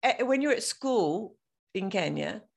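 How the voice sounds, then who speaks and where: A woman hosting a running podcast, chatting in a bubbly, animated way and laughing often. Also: medium pitch, a little slow, gravelly. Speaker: podcast host. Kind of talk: casual interview chat.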